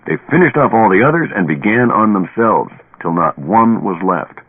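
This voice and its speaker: An actor playing a stubborn, haunted, tipsy professor who lectures deliberately and dramatically in a radio play. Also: male voice, on the low side, expressive, husky, narrow, tinny audio. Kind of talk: old radio drama acting. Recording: band-limited old radio recording, echoey.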